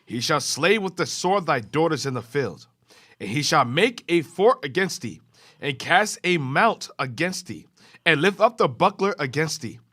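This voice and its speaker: A man reading scripture aloud in a class, with a steady, formal reading cadence. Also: on the high side, moderate pace.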